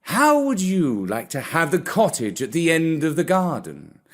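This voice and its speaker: A man voicing a slow, courtly aging tomcat. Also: fairly high pitch, huge pitch swings, exaggerated voice. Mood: gracious. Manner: purring, measured, gracious. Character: courtly, generous, aging male cat, head of house.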